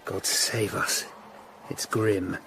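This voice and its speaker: An actor playing a grave, compassionate, determined Tudor lawyer-detective in a radio drama. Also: male voice, low voice, little pitch movement, drawn-out words, vocal fry, bright, forceful tone, a little hiss or hum, heavily acted, rough recording. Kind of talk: BBC radio drama acting.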